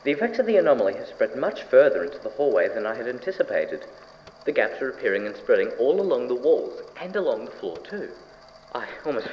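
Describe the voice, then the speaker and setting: A man's voice: mid-range voice, close to an everyday voice. A man playing an eccentric, obsessive scientist in an audio drama, musing aloud to himself with blithe cheer. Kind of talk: audio drama lab log monologue. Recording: dull, distorted tape with clear background noise.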